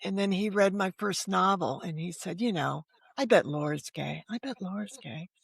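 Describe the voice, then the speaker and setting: Older woman with a gravelly, creaky voice. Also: lowish voice, moderate pace, no echo, studio quality. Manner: frank, self-deprecating, wry; quotes dialogue while telling stories. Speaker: podcast guest, novelist. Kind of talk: candid personal storytelling in an interview.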